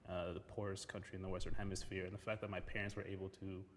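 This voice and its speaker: A man's voice: deep voice, flat delivery, fast. A man born in Haiti and raised in the United States, giving a personal account in a group discussion. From Haiti-born, grew up in the United States. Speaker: discussion panelist. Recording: reverberant room with faint background noise.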